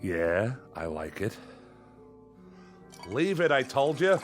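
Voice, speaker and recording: An actor playing a bitter, lonely convict exiled on an asteroid in a radio drama, terse, sardonic and easily exasperated. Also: male voice, mid-range voice, sing-song, takes it slowly. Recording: radio drama with music underneath.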